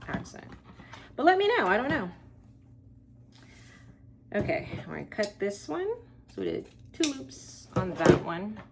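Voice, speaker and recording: A woman's voice: fairly low pitch, vocal fry, slight background noise. A woman from Texas hosting a wreath-making tutorial, chatting casually and thinking aloud as she works, with rambling asides. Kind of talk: casual crafting tutorial, talking while working.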